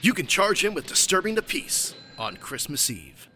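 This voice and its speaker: A man's voice: sits high, wildly swooping pitch, moderate pace, bright, forceful tone, faint music behind, exaggerated voice. A man playing an earnest, commanding, matter-of-fact caped crime-fighter in a comedic radio play. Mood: satisfied.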